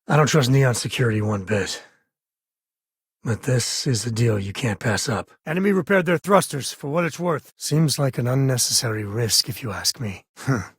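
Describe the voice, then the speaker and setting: An actor voicing a wry, easygoing space ranger and father in a video game, with a husky, raspy voice and a folksy drawl. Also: medium pitch, no room sound, clean studio sound. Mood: urgent, alarmed. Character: laid-back frontier space ranger, doting father, wry and plainspoken. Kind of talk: video game character dialogue.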